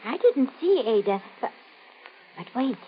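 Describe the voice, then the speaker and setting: A woman's voice: sits high, sing-song, unhurried. A woman playing an anxious, superstitious older woman in an old-time radio horror play, with hesitant, fearful, dramatic delivery. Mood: uneasy. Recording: muffled, noisy vintage radio recording.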